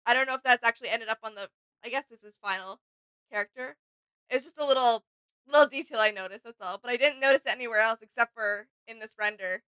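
Bright young woman, casual chat. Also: on the high side, fast, low-quality recording. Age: 20s. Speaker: co-host of a reaction channel. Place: small home gaming room, indoors.

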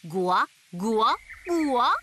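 A woman playing a gentle, motherly owl teacher who reads picture books to toddlers with playful sound words. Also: a little high, big pitch swings, unhurried, full character voice. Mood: playful storytelling. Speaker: picture book storyteller for babies. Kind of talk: picture book read-aloud for toddlers. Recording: faint background noise of nature. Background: nature sounds.

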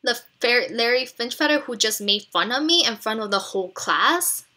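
Woman as storybook schoolboy animal: excitable, touchy, bright and emphatic. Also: a little high, moderate pace. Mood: hurt, indignant. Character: excitable, easily hurt young schoolboy animal in picture book.

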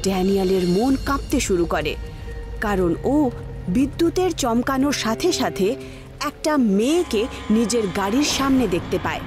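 Lively high-voiced woman narrating horror. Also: unhurried, over music. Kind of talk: dramatic scripted horror-story narration.

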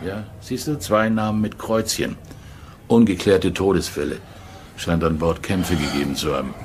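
An actor playing a brisk, chummy police superior in a crime audio drama, talking in a businesslike, coaxing, familiar way. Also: male voice, low voice, fairly even intonation, a little hiss or hum, lightly acted. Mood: businesslike briefing.

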